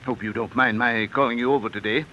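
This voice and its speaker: An actor playing a grave, businesslike university dean in a vintage radio drama, formal in manner. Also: male voice, medium pitch, steady tone, fast, lo-fi sound, natural voice. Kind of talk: 1950s radio drama acting. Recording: old radio broadcast, faint noise.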